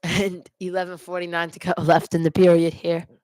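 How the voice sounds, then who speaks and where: A woman with a fairly low voice gives amateur live hockey play-by-play as a fan host. She mixes the calls with exasperated, complaining asides about the team. The sound is dry, with very little room echo. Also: level tone, normal speed, darkish tone. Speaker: fan commentator hosting a live hockey watch party.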